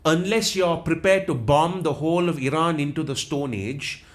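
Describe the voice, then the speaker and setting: A man's voice: on the high side, moderate pace. A man from Sri Lanka with a Jaffna accent, explaining world affairs as an interview guest in an analytical, repetitive way with many restarts. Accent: Jaffna Tamil-influenced Sri Lankan English. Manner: explanatory, repeats himself, frequent restarts.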